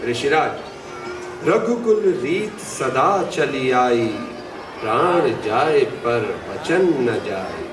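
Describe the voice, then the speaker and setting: A man's voice: mid-range voice, animated, very slow. A noble, anguished king, distant and echoing. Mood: solemn, resolute. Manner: formal, reverent, solemn declamation. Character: noble, anguished king and doting father, deferential to sages. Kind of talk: theatrical Hindi mythological audio drama. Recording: roomy distant playback with music behind.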